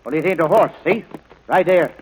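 An actor playing a loyal, plain-spoken Swedish ranch hand, folksy and deferential, in a 1940s radio drama. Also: male voice, on the high side, moderate pace, slight background noise, natural voice, rough recording. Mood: alert, matter-of-fact.

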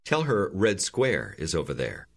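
A deep-voiced man narrates a language lesson, giving calm and clear prompts. His low voice still moves up and down expressively as he guides each exercise. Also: a little slow. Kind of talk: scripted instructional narration.

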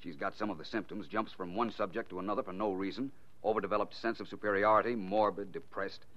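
An American actor playing a confident, wry, unflappable male detective in 1940s radio style, reasoning coolly and deliberately. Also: on the low side, fairly even intonation, fairly bright tone, close to an everyday voice. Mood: absorbed, reasoning. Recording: dull 1940s radio recording.

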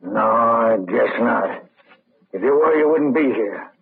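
Agitated, distrustful man, vintage radio drama: very rough voice, blunt delivery, narrow phone-like recording. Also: mid-range voice, lively intonation, takes it slowly, heavily acted. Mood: upset. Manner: blunt, gruff questioning. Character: suspicious, agitated man worried for his blackmailed friend. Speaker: radio actor in supporting part. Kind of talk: old-time radio drama acting. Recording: tinny old radio recording.

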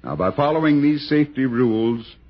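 A man's voice: medium pitch, lively intonation, brisk, slightly rough. An American man reading a scripted, emphatic public safety announcement on old-time radio. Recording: dull old radio broadcast recording.

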